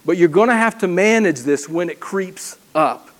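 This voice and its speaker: Guy preaching in a super high voice, lots of repeated questions, acts out what people are thinking. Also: lively intonation, normal speed. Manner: rhetorical questions, repeats phrases. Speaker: pastor. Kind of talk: sermon. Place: church stage with a ladder prop.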